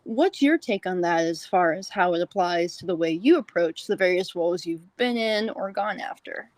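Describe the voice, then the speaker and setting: A woman's voice: lowish voice, expressive, moderate pace, dry recording. A woman hosting a casual, conversational interview in a chatty manner. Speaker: interview show host.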